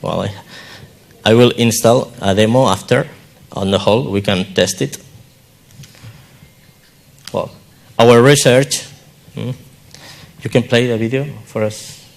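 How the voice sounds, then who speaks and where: A man presenting research projects at a conference, speaking English haltingly in short, simple phrases. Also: mid-range voice, vocal fry. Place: conference hall.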